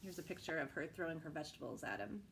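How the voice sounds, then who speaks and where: A woman reading a children's picture book aloud with expressive narration. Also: low-pitched, fast, gravelly, husky.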